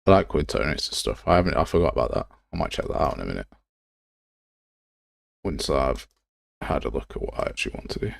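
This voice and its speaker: A man's voice: extremely low pitch, very animated, fast talker, vocal fry, long pauses, no echo, studio quality. A man giving a casual live tutorial, talking to himself as he works and sounding self-deprecating. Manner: muttering to himself while working. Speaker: game development livestreamer.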